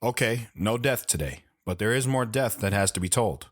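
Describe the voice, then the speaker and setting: A man's voice: on the low side, lively intonation, normal speed, no room sound, studio quality. An actor playing an earnest, sombre retired military man, reflectively telling his life story in an audio drama. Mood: matter-of-fact. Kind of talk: audio drama narration and dialogue.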